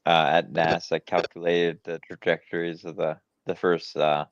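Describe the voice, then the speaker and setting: A man's voice: deep voice, level tone, normal speed, no room sound. An American man talking casually in a group discussion over a slightly dull, lo-fi mic.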